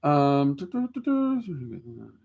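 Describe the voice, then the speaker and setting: A man's voice: a little high, very animated, slow, creaky, a little mellow, muffled recording. A man with a Southern US accent, hosting a casual livestream and answering viewer questions in a rambling, self-deprecating way with lots of ums. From Southern United States.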